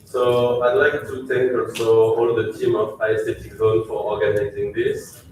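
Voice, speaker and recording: A man with a French accent giving a polite, thankful launch speech as a company chief, pausing often with fillers. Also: medium pitch, level tone, darkish tone. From France. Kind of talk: conference speech. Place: large echoey event hall. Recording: echoey hall from far off.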